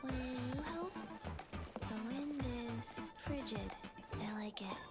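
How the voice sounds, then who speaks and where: Slow, deadpan little zombie girl. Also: high-pitched. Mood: deadpan, wistful. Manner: deadpan, halting, soft. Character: small forgetful zombie girl, flat and childlike. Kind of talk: anime game character demo. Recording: loud music behind.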